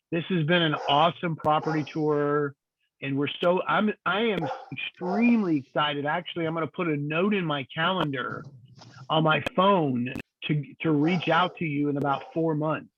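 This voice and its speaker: A man's voice: a little high, normal speed, noisy background. A man hosting a property tour video call, upbeat and chatty, with lots of filler words. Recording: tinny phone-like call audio.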